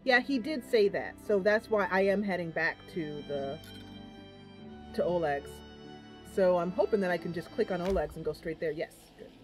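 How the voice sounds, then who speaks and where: A woman's voice: on the low side, animated, moderate pace, a little mellow. Chatty woman, game music behind. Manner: chatty, thinking aloud, addressing viewers. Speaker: gaming channel host. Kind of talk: casual gameplay commentary.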